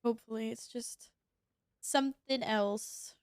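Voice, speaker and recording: Sniffly young woman streamer, casual chatter, smooth voice, dry close mic. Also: a little high, steady tone, a little slow, darkish tone, no echo. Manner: chatty, reacts to gameplay, reads chat aloud. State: sniffly, sneezing. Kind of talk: casual gaming stream chat.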